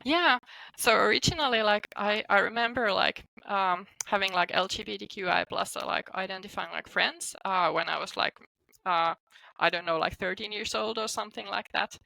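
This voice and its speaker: A woman's voice: mid-range voice, moderate pace, vocal fry, sharp tone, dry recording, rough recording. A woman from Finland with a Finnish accent, talking informally as an interview guest and often saying "like". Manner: informal, peppered with "like" as a hedge. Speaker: guest, psychotherapist and coach. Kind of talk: casual interview talk.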